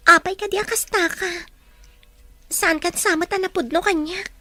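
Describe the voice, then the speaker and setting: A woman's voice: extremely high pitch, normal speed, bright, forceful tone, dry recording, soft background music. A woman voicing a lovesick, insistent teenage girl in an audio drama, with an Ilocano Filipino accent. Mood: pleading, reproachful.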